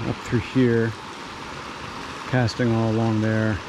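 A man's voice: fairly low pitch, little pitch movement. A man narrating casually while hiking and fishing a mountain stream, thinking aloud with fillers and restarts. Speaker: fishing vlogger. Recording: outdoor recording with stream noise. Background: rushing stream water.

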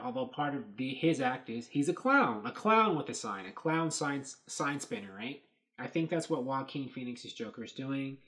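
Male host, 30s, mid-pitched, loose rambling webcam commentary from a small room. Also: a bit bright. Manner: rambling, thinking aloud with many fillers. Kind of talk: casual commentary on set photos. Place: small dark room indoors.

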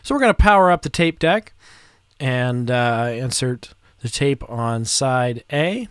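Guy in his 30s doing a casual how-to, smooth voice, close dry mic, kinda hesitant and unhurried. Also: mid-range voice, animated, dry recording. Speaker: audio restorer presenting a how-to. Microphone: close microphone in front of him.

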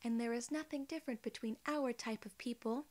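A soft-spoken woman in her twenties doing an ASMR role-play as a polite alien gatekeeper, with measured, formal phrasing, close to the microphone. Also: on the high side. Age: 20s. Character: young, polite, friendly female alien gatekeeper. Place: small indoor room.

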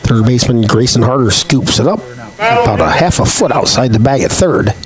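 A man calling a local baseball game play by play, his voice scratchy and hoarse, chatting folksily between pitches. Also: big pitch swings, normal speed, a loud crowd. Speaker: play-by-play announcer.

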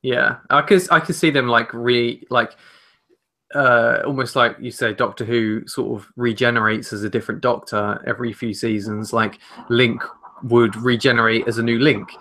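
Casting: male co-host, twenties, medium pitch, bright forward tone, casual and slightly hesitant. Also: fairly even intonation, normal speed. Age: 20s. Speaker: podcast co-host. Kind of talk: casual chat. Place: home room, indoors.

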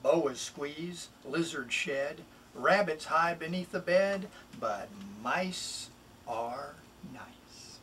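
A middle-aged man, a children's author, introducing and expressively reading a picture book in a deliberate, emphatic way. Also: fairly high pitch, fairly bright tone. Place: small wood-panelled home office, indoors. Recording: distant mic, room noise.

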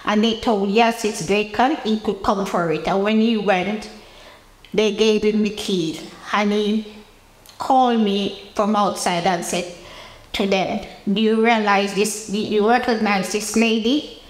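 A woman's voice: mid-range voice, steady tone, measured pace. A woman in her eighties from Jamaica, with a Jamaican accent, recalling personal memories in a seated interview recorded in a roomy space. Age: 80s. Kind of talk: personal reminiscence to camera. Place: indoor room with bare walls, seated interview. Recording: roomy, echoing indoor interview.

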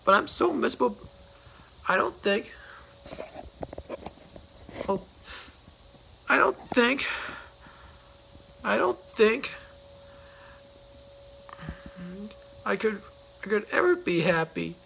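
Squeaky miserable little storybook guy, whiny and stammery, man putting it on, bright thin tone. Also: sing-song, normal speed, slight background noise, heavily acted, low-quality recording. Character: gloomy self-pitying man, sad and squeaky.

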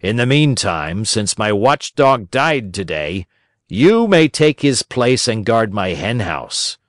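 A man voicing a stern, angry farmer in a children's storybook, with a rough, scolding, threatening manner. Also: fairly low pitch, sing-song, takes it slowly, dry recording, studio quality. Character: angry, stern male farmer catching a thief.

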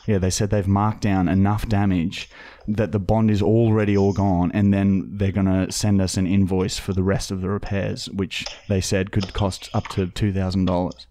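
An Australian man, perhaps in his thirties, making a casual prank phone call as a podcast host, talking in a sweary, halting, matter-of-fact way into a close studio mic. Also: sits low, flat delivery, dark tone, dry recording. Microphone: close podcast microphone on boom arm.

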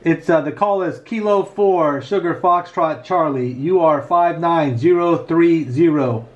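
A man's voice: fairly high pitch, a little slow, dull audio. A middle-aged man with an American accent talking casually and informally as an amateur radio hobbyist. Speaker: amateur radio operator and vlogger. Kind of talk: casual vlog talk with radio call-outs. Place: small home radio room, indoors. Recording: noisy room with radio static.

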